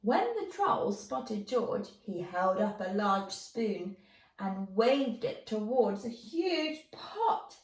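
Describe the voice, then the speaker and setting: A woman storyteller reading a rhyming children's tale in an expressive storybook style. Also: mid-range voice, slow. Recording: roomy, echoey, distant mic.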